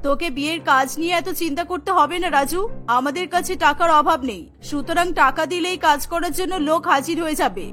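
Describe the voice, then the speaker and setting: Woman as a very high, haughty rich mother. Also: fast talker, bright, forceful tone. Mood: commanding. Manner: haughty, boastful, commanding. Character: proud wealthy mother of two grown sons. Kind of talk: cartoon story voice acting.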